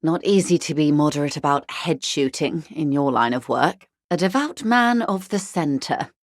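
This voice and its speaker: A genteel, worldly negotiator speaks in a slightly low female voice with cool, ironic detachment. Her delivery is measured and precisely worded, as scripted game dialogue. The sound is dry, with no room around it. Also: expressive, no echo. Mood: wry, detached. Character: worldly, wry corporate negotiator woman, coolly detached and genteel.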